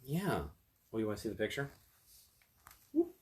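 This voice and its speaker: A man's voice: lowish voice, sing-song, moderate pace, darkish tone. A man reading a fairy tale aloud as a storyteller, with casual asides to his listeners. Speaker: storyteller, attorney.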